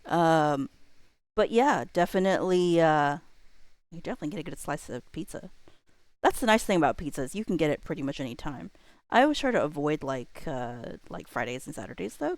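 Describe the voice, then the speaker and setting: A woman's voice: sits low, dry recording. A woman livestreamer chatting casually during a game, rambling and thinking aloud as she gets sidetracked. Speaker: live streamer. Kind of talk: casual livestream chat while playing a game.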